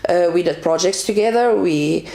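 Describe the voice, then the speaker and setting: A woman's voice: lowish voice, moderate pace, gravelly, dark tone. A woman from South Africa with an Afrikaans accent, reflecting as an interview guest, often restarting and adding "you know". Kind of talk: conversational interview. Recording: roomy echo.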